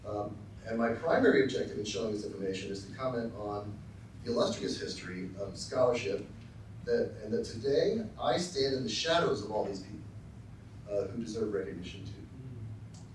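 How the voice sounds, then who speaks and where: A middle-aged man from Texas giving a formal acceptance speech with dry, self-deprecating humor, often pausing with ums and uhs. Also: fairly low pitch, slight background noise. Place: large lecture hall. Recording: very echoey hall, voice from far off.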